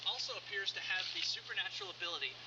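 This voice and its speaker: An actor playing a friendly, earnest park ranger presenting a scripted public service announcement. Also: male voice, sits high, lively intonation, racing, lo-fi sound, background noise. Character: cheerful earnest male park ranger giving safety announcement.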